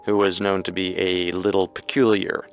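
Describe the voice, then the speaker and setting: A man reads scripted true-crime narration as a co-host. His pitch sits somewhat low. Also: level tone, moderate pace, clear, resonant tone, highs cut off.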